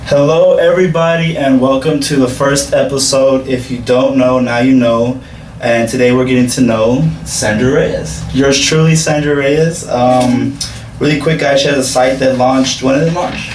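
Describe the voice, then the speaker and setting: A man's voice: medium pitch, steady tone, normal speed, darkish tone, slight background noise. Man hosting an interview in a roomy room. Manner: chatty, talks to viewers as guys. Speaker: interviewer and show host. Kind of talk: casual interview. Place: home craft room. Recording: roomy, distant camera sound.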